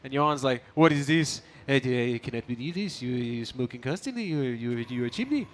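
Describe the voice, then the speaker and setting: A man's voice: mid-range voice, expressive, fairly quick, a little hiss or hum. A man doing casual esports commentary, teasing and joking between calls of the game. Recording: faint music behind the voice. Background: music.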